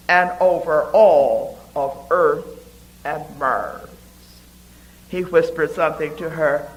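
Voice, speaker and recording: A man giving a dramatic poetry reading, deliberate and expressive, with emphatic pauses. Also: fairly high pitch, low-quality recording.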